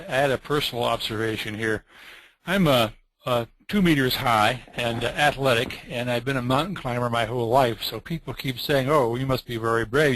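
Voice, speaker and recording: A man's voice: medium pitch, a bit bright, dry recording, rough recording. A man on a webinar panel, steadily telling stories of brave people.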